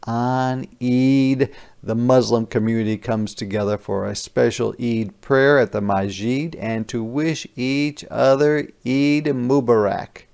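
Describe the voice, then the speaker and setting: Man, mid-pitched, slightly creaky voice, slow pace, children's read-aloud, teacherly explanatory asides. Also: fairly even intonation, a little mellow. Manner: simple, deliberate explanations for young children. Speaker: teacher reading a picture book aloud.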